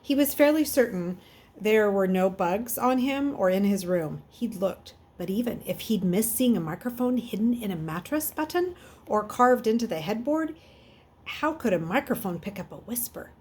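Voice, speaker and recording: Female storybook reader, mid-pitched and slightly rough, expressive, unpolished delivery with the odd stumble. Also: moderate pace. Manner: expressive, with stumbles and self-corrections. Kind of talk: read-aloud of a children's novel.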